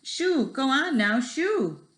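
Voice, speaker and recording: A woman's voice: high voice, sing-song, takes it slowly, voice pushed up, full character voice. A woman voicing indignant, scolding townsfolk in a children's storybook, exclaiming crossly. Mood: indignant, shooing. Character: indignant storybook townsfolk shooing away a wet dog. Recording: echoey room.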